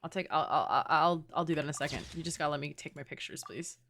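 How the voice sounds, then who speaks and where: A woman's voice: low-pitched, fast, vocal fry, a bit bright, a little hiss or hum. A young adult woman giving casual, slangy, joking commentary while livestreaming a game.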